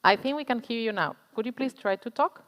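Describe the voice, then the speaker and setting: Female online event moderator, resonant mid-pitched voice, courteous, unscripted, heavy reverb. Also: brisk, a bit bright. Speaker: session moderator. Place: online conference call. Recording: reverberant online call audio.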